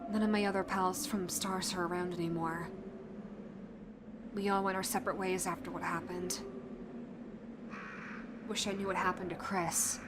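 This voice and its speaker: A woman playing a determined, protective young fighter in a zombie outbreak audio roleplay, speaking earnestly and haltingly to the listener. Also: fairly low pitch, level tone, noisy background, lightly acted. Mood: earnest, pleading.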